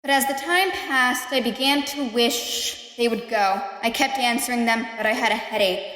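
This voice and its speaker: A woman's voice: fairly high pitch, normal speed, bright, forceful tone. A woman playing an unhinged, guilty murderer who narrates with false politeness and growing paranoia in a horror radio play. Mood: uneasy narrating. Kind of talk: dramatic first-person horror monologue in radio play. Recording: echoey room with long reverb.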